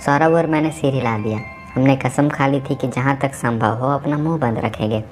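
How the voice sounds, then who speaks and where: A man's voice: mid-range voice, moderate pace, faint music behind. A man narrating a novel aloud at home, with a sore throat from a cough, voicing the dialogue as he reads. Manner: casual, mixes English words into talk. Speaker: audiobook narrator. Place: home recording, busy household.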